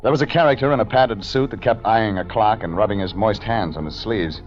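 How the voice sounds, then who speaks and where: An American man acting a cool, terse private investigator in deadpan, clipped 1940s radio detective style. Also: fairly low pitch, fairly quick, lightly acted. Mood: deadpan narrating. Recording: dull vintage radio recording, music behind.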